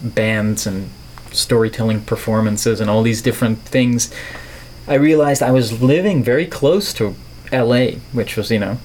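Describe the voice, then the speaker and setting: A man's voice: medium pitch, normal speed, darkish tone. Middle aged dutch-born guy w/ american accent, playful wordy podcast guest, bit of noise behind him. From Netherlands. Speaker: podcast guest, artist and filmmaker. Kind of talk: reflective conversational interview.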